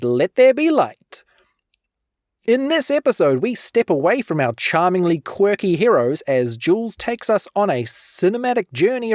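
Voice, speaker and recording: A woman's voice: medium pitch, a little mellow, no echo, clean studio sound. A woman presenting a scripted podcast introduction with playful, dramatic emphasis.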